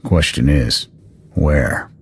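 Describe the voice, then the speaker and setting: An actor playing a gruff soldier in a video game, speaking in a deep, clipped, businesslike way. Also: male voice, fairly even intonation, drawn-out words, exaggerated voice. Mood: focused, terse. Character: gruff low-voiced male soldier searching a prison. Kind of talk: video game cutscene acting. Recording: loud noise behind the voice.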